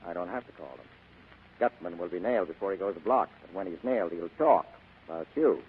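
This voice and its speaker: Old narrow-band radio recording, hard-boiled American detective, brusque, sardonic, rapid, swinging pitch. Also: male voice, expressive, a little hiss or hum. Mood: accusing. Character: hard-boiled, cynical male private detective. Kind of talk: 1940s radio drama acting.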